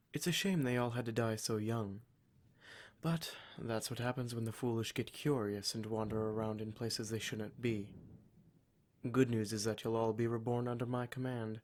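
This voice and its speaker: A man's voice: medium pitch, natural voice. A man playing a cruel, smug demon in a scripted ASMR roleplay, speaking in a formal, mock-polite and menacing way. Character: cruel, smug male demon, taunting captor of adventurers.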